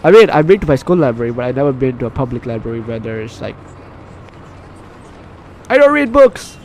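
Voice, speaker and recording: A man's voice: medium pitch, big pitch swings, a little fast, a little hiss or hum. A man hosting a casual let's play, reading game text aloud with commentary and often stumbling over and repeating phrases. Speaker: gaming video host.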